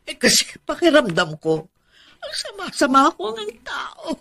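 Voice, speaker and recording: A woman's voice: high voice, huge pitch swings, normal speed, fairly bright tone, heavily acted. A woman playing a resentful, self-pitying middle-aged aunt in a Filipino radio drama, nagging and melodramatic. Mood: defensive, aggrieved. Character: resentful, self-pitying older aunt who raised her nephew.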